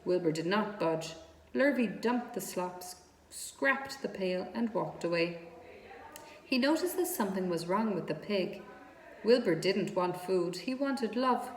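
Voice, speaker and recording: A woman reading a children's story aloud as narrator, with deliberate, expressive phrasing. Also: mid-range voice. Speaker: storybook reader. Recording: echoey reverberant room with background noise.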